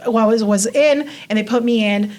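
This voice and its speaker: A woman's voice: on the high side, normal speed, a little mellow. Chatty woman guest telling her story. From Mexico. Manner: chatty, lots of fillers like "you know" and "like". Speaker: interview guest. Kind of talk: casual storytelling.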